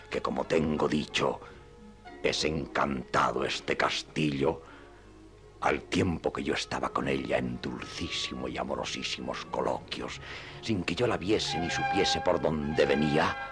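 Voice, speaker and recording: Radio play deluded old knight: rumbling bass, slow, grandiloquent, hushed and gravelly, music underneath. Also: male voice, exaggerated voice. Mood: aggrieved, grave. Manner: pompous, hushed and confiding. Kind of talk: radio drama acting in archaic literary Spanish.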